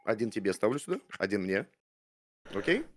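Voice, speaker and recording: Animated man in his thirties, halting and gallant. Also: on the low side, a little hiss or hum. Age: 30s. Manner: hesitant, full of fillers, mock-gallant. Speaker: show contestant flirting with a guest. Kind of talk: playful mock-romantic chat on a stream. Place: streaming room with purple lights. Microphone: studio mic on arm, headphones.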